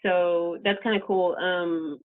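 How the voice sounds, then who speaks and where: A woman's voice: on the low side, fairly even intonation, slow pace. A woman podcast host from the United States, chatting casually and rambling with many restarts. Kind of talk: casual chat. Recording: tinny phone-like line.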